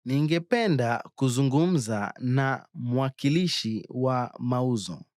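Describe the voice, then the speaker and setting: A man's voice: medium pitch, animated, slow, darkish tone, no room sound. A man reads drill phrases as a model speaker for learners. He enunciates carefully and takes his time, in a mid-range voice with a dry, close sound. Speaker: native-speaker phrase demonstrator. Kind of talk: scripted language drill.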